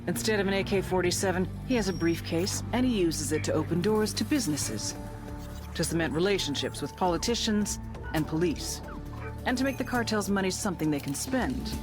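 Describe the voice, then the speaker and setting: An actress playing a tough intelligence handler in a game, a giving an assured, matter-of-fact mission briefing. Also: female voice, fairly low pitch, expressive, fairly quick, close to an everyday voice. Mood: businesslike, tough. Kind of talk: scripted mission briefing narration. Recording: music behind the voice.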